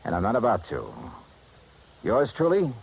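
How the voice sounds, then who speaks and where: An actor playing a tough, wry American insurance investigator in a clipped 1950s radio drama style. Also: male voice, mid-range voice, wildly swooping pitch, normal speed, vocal fry, heavy background noise. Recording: vintage 1950s radio recording.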